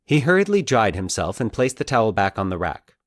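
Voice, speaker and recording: Male storyteller, lowish pitch, very varied intonation, scripted fiction narration, podcast host. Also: big pitch swings, a little fast, dry recording, studio quality.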